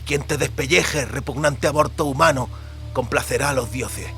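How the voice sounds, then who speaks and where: Audiobook villain, male reader: lecherous mounted bandit, hissing, drawn-out, mocking and menacing. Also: mid-range voice, fairly bright tone, faint music behind, lightly acted. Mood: sneering menace. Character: sneering, lecherous, menacing human bandit on horseback. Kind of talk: audiobook character acting.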